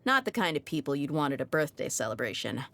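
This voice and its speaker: A woman's voice: deep voice, sing-song, fast, bright, forceful tone, dry recording, heavily acted. An actress voicing a tough but easygoing young desert mercenary woman in a video game, with a casual, frank manner. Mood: warm, playful. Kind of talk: video game character voice lines.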